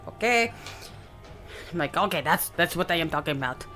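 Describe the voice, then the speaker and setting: A woman's voice: lowish voice, animated, moderate pace, bright, forceful tone. A woman playing a tabletop game, chatting casually in a playful, exclamatory way. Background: music.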